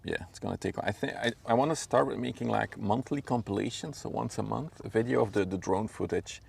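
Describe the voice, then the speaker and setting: A man's voice: fairly low pitch, fairly quick, creaky, darkish tone, husky. A man from Belgium, a native Dutch speaker with a Flemish accent, chatting casually in an easygoing, rambling way as he livestreams from a riverbank. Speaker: live streamer, drone pilot. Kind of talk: casual livestream chat and commentary. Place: outdoors on a riverbank. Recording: faint outdoor background noise.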